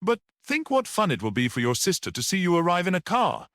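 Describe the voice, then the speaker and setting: A man's voice: high voice, huge pitch swings, a little fast, fairly bright tone, dry recording, studio quality, pitched above the performer's own voice, heavily acted. A man voicing a grim, coolly defiant storybook character with a curt, sinister politeness. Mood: urging. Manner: curt, impatient, urging.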